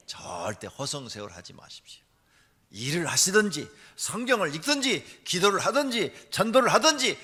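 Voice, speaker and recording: A man delivers a church sermon in a bright, forceful voice pitched on the high side. His intonation swings widely as he moves emphatically between hushed asides and loud exhortation. The sound carries the long reverb of a large hall. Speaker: pastor preaching a Sunday sermon.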